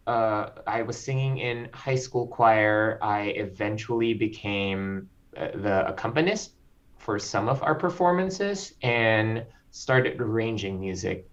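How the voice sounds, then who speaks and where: Slow thoughtful guy talking about his music, kinda muffled sound. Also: lowish voice. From Philippines. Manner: slow, measured, reflective with frequent ums. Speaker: composer guest. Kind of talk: interview conversation. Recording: slightly dull lo-fi audio.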